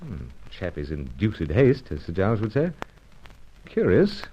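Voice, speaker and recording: An actor playing a suave British secret agent in an old radio serial, with clipped gentlemanly banter and first-person narration. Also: male voice, fairly low pitch, wildly swooping pitch, a little slow. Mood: wry, suspicious. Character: suave pipe-smoking British secret agent narrating his own adventure. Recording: muffled noisy old radio recording.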